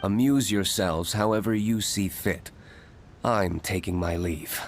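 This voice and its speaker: Aloof, low-voiced brooding gentleman. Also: a little slow, slight background noise. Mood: curt, aloof. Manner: deadpan. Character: aloof, brooding young gentleman, dry and curt. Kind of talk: anime-style video game voice acting. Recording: studio voice under game music.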